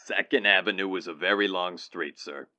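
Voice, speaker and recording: A man playing an unhurried, dismissive police desk officer who placates and patronizes, in old-time radio drama style. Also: sits low, bright, forceful tone.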